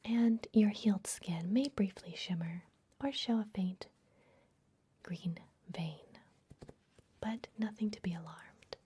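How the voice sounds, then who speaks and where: A woman voicing a secretive, gentle vampire librarian in a breathy, soothing whisper for an ASMR fantasy roleplay. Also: medium pitch. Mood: gentle, instructive. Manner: slow, soothing, confiding.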